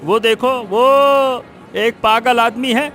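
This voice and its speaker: Very high-pitched, pushy cartoon village schemer played by a man. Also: steady tone, slow pace, bright, forceful tone, soft background music, full character voice. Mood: scheming, persuasive. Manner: argumentative, blustering, insistent. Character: scheming, quarrelsome male village cheat, pushy and spiteful. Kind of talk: Hindi cartoon moral story acting.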